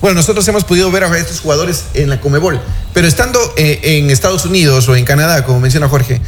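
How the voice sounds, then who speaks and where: Ecuadorian guy running a football chat show, kinda high voice, jumps in with questions, bit of noise behind. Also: fast. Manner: asks the panel questions, interrupts. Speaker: sports talk host. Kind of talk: casual sports talk panel.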